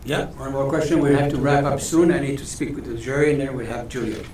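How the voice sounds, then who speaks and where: A man moderating a panel discussion, speaking calmly and plainly. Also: medium pitch, quick pace. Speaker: moderator of a mock tribunal. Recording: echoey hall with faint noise.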